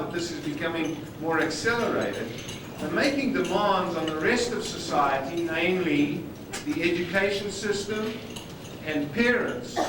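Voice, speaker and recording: A man's voice: high-pitched, background noise. South african man, conference talk, emphatic stresses, echoey hall, clattering dishes. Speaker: conference speaker. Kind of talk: conference presentation. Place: conference hall with dining noise. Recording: echoey hall from far off on old videotape.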